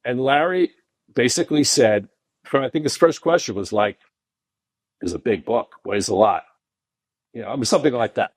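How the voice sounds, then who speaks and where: A middle-aged man, a podcast guest telling long animated anecdotes full of restarts. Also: normal speed, long pauses, husky, dry recording. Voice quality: deep voice. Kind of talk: casual storytelling interview.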